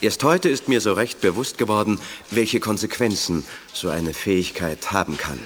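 An actor playing a modest, polite bank clerk in a German audio drama, speaking in a matter-of-fact way. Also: male voice, fairly low pitch, normal speed, slight background noise, lightly acted. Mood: thoughtful.

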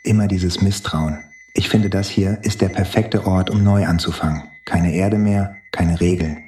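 Man as cheeky low-voiced space traveller, echoey. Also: steady tone, measured pace, a little mellow. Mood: upbeat. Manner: flippant, slangy. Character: cheeky, brash, mocking male space traveller, Willi. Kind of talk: audio drama acting. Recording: roomy echo.

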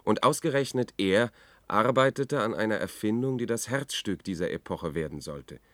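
Casting: brooding, uneasy man in a science fiction radio play, deep, measured, dry studio sound. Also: expressive, fairly bright tone, no room sound. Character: anxious, brooding man recounting his search for a friend. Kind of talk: German radio drama acting with narration.

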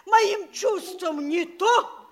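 Gushing, high-voiced, vain provincial society wife. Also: female voice, extremely slow, exaggerated voice. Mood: gushing, boastful. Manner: gushing, theatrical, self-admiring. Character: vain, affected provincial mayor's wife boasting to guests. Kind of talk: theatrical radio play acting.

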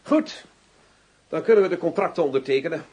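A man's voice: fairly high pitch, big pitch swings, a little fast, lo-fi sound, heavy background noise. A man playing a cynical, matter-of-fact arms dealer in a radio drama. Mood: smooth, cynical.

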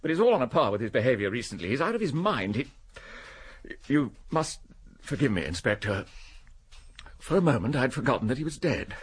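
A man's voice: mid-range voice, big pitch swings, brisk, pauses often, husky. An actor playing an indignant, flustered businessman in a radio drama. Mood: flustered indignation.

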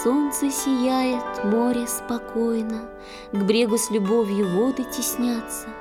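A woman performing in a children's audio play, reciting verse lyrically and expressively with drawn-out phrases. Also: a little high, fairly even intonation, over loud music.

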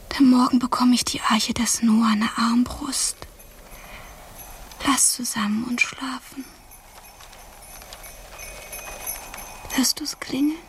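A woman's voice: on the high side, steady tone, takes it slowly, long pauses, a little hiss or hum. An actress playing a passionate, capricious young girl in a poetic radio drama, with a whispery voice and intense, dreamy phrasing. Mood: drowsy, tender. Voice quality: breathy, whispery.

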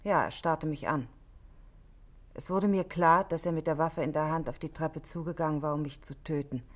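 An actress playing a cool, controlled married woman under questioning in a 1960s German radio play, speaking in a measured, precise, matter-of-fact way. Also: low-pitched. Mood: grave, recounting.

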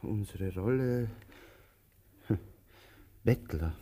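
Patient brooding tramp, lowish pitch, dark mellow timbre, measured dry radio drama delivery. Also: male voice, slightly rough, full character voice. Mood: pensive. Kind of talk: German radio play, theatrical absurdist dialogue.

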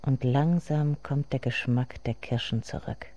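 A grieving grown daughter in a literary radio play. Her voice is dark and mellow, and she speaks to herself in a measured, intimate, brooding way, with bitterness underneath. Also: extremely low pitch, muffled recording. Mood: reflective.

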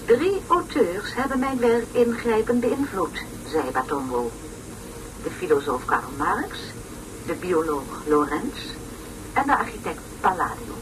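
A woman's voice: on the low side, very animated, a little slow. Pompous lecturing woman, swooping intonation. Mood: pompous, solemn. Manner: pompous, solemn, over-articulated lecturing. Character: pompous, long-winded woman lecturer on an educational tape. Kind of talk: scripted radio play lecture. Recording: noisy, distant old radio play recording.

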